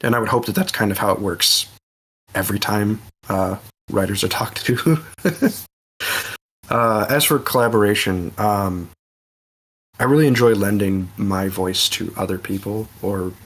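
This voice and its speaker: A man's voice: fairly low pitch, a little slow, pauses often, a bit bright, breathy edge, low-quality recording. A man on a casual discussion panel, speaking reflectively and hesitantly as he thinks aloud. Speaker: podcast panelist.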